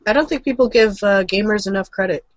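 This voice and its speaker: A woman's voice: mid-range voice, fast talker, dry recording. A woman co-hosting a casual gaming and comics news stream, speaking in a loose, informal way. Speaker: show co-host.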